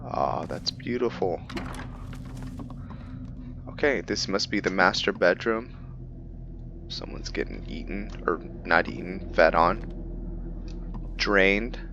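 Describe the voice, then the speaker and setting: A man hosting a gaming video, musing aloud in a relaxed way as he plays and comments on what he finds. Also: sits low, slow pace, pauses often, dull audio, noisy background. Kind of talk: casual game commentary.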